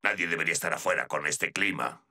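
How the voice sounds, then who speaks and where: An actor playing a blunt, serious male rescue robot in an animated cartoon dub. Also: deep voice, quick pace, heavily acted.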